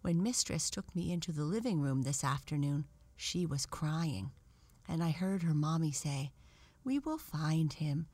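A woman's voice: sits low, expressive, a little slow, warm tone, husky, no echo, exaggerated voice. An earnest rag doll as a woman narrator puts her on, tender-hearted and thoughtful. She talks with old-fashioned politeness, like the kindly leader of the toys in a children's storybook. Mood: worried, sad.

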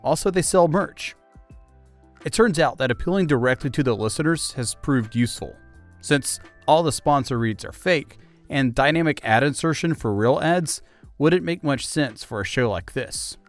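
A man's voice: mid-range voice, animated, moderate pace, soft background music. A man hosting an interview podcast, speaking in a thoughtful, explanatory conversational way. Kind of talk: conversational interview with narrated segments.

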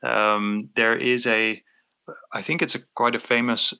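A man's voice: mid-range voice, flat delivery, measured pace, gravelly, pushed and bright, smooth. A Dutch-native man from North Holland, a podcast guest, speaking English in an easygoing way. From North Holland, Netherlands. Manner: easygoing, laughs often. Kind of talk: casual interview chat.

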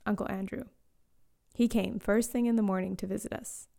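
Mellow, gravelly woman reading a memoir. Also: mid-range voice, steady tone. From born in Eswatini to Zambian parents, raised in South Africa, Australia and Canada. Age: late 20s. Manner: slow, reflective, lyrical reading with soft hushed lines. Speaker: storyteller. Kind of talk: scripted personal narration.

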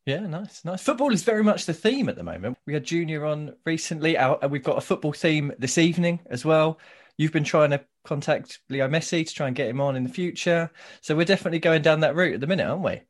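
A man's voice: a little high, lively intonation, fast. A man from South East London hosting a podcast, telling wry stories and reading out a guest introduction. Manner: easygoing, wry storytelling. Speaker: podcast host. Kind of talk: casual chat and read introduction.

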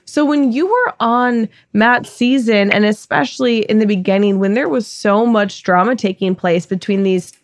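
A woman's voice: mid-range voice, normal speed, hardly any pauses, no room sound, clean studio sound. A woman co-hosting a podcast, asking long, winding, thoughtful questions in a casual way. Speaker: podcast co-host. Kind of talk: casual interview chat.

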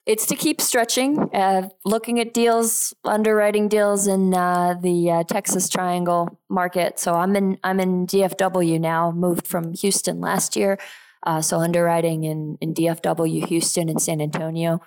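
A woman's voice: on the low side, little pitch movement, measured pace, darkish tone, poor audio. A woman in her thirties from Switzerland, talking casually as an interview guest. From Geneva, Switzerland. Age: 30s. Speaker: podcast guest, real estate investor.

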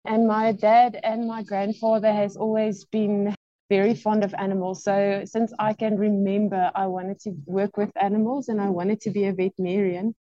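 A South African woman in her thirties chats easily about her life, her voice mellow and warm. The sound is dry and a little dull, as if on a modest mic. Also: mid-range voice, moderate pace, dry recording. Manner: relaxed storytelling with occasional laughs. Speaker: veterinary pathologist guest. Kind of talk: casual interview talk.

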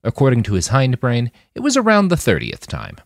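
A man's voice: mid-range voice, wildly swooping pitch, a little fast, no room sound, studio quality. A man reading scripted fiction as an audiobook narrator.

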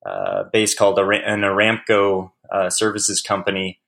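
A man's voice: on the low side, big pitch swings, normal speed, gravelly, a bit bright. A man being interviewed as a guest on a podcast, answering in a friendly, easygoing way with frequent restarts and fillers. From United States.

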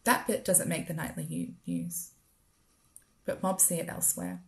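Low, creaky-voiced Australian woman reading. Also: big pitch swings, normal speed. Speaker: writer performing own work. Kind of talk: literary spoken-word reading.

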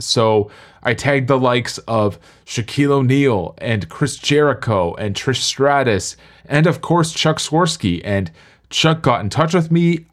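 A man hosting a sports podcast in a semi-scripted, presenting style. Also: mid-range voice.

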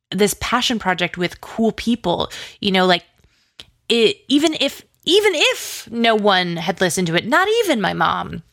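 A woman's voice: mid-range voice, a bit bright, no room sound, clean studio sound. A woman chatting casually as an interview guest, effusive and rambling, peppering her talk with "like" and "you know". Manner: effusive, enthusiastic. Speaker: interview guest, audio drama writer and producer.

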